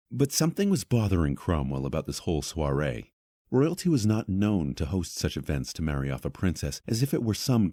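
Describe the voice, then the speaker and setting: A man narrating a scripted fantasy audio drama in a measured, dramatic storyteller's manner. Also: deep voice, warm tone, dry recording, clean studio sound.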